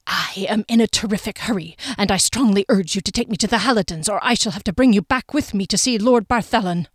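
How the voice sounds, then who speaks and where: A woman's voice: fairly high pitch, brisk, clean tone, dry recording, studio quality, natural voice. A woman voicing a stern, hot-tempered female corporal who barks impatient demands in an audiobook story. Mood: urgent, menacing. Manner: barking, demanding.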